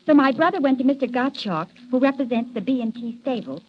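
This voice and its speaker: Anxious, earnest young woman, high pitch, vintage radio drama, dull recording. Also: animated, fairly quick, a little hiss or hum. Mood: worried. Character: anxious young woman pleading for her brother. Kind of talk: old radio drama acting.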